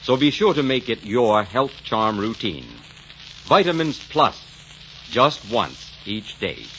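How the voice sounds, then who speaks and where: Animated 1940s radio announcer man. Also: mid-range voice, takes it slowly, background noise. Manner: polished, emphatic salesmanship. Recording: muffled 1940s radio broadcast, faint music.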